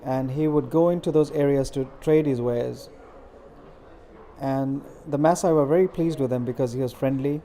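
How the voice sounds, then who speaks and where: A middle-aged man answers questions earnestly and at a measured pace, pausing often on fillers. His voice is mellow and dark, sitting in an ordinary middle pitch. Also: a little hiss or hum. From India. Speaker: interviewee, community organizer. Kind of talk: interview answers.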